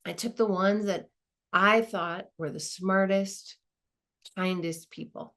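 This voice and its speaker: A woman's voice: medium pitch, steady tone, slow, fairly bright tone. An actress playing a modest woman law school dean, giving a reflective, conversational monologue with small hesitations. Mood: appreciative, reflective. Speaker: performer of a dramatized narrative.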